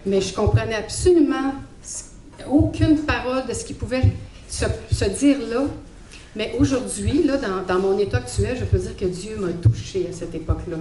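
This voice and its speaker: A woman's voice: fairly high pitch, moderate pace, mellow. A woman from Montreal with a Quebec French accent, giving a personal faith testimony in a hesitant, reflective way with many fillers. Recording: echoey hall, faint background noise.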